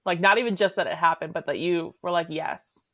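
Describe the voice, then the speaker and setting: A woman hosts a relaxed podcast conversation, often chiming in to agree and laughing along with her guest. Her voice comes through slightly dull and lo-fi. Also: fairly low pitch, fairly quick. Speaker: podcast host. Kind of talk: casual podcast chat.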